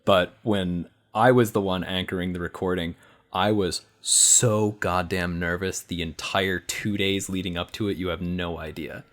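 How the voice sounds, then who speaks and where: A man with a low voice talks as a guest on a podcast. He is casual and rambling, often circling back to rephrase himself. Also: fairly even intonation, a little slow. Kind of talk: casual chat.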